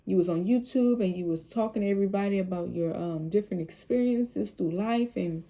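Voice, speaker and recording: A woman with a low, soothing voice, speaking casually and with rambling repeats as she talks about faith on her vlog. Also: brisk, mellow. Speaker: vlogger.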